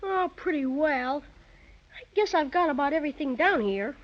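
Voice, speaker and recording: A woman's voice: sits high, expressive, normal speed. An actress playing a teasing, eager little boy with a folksy manner in a 1930s children's radio serial. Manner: folksy, boyish exclamations. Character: boyish, teasing young twin brother, eager kid. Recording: dull 1930s radio recording.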